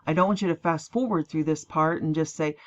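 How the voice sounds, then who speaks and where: A tired woman rambling emphatically as she introduces a clip, breaking into quick mocking asides. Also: low voice. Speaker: online prophecy channel host. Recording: dull lo-fi recording.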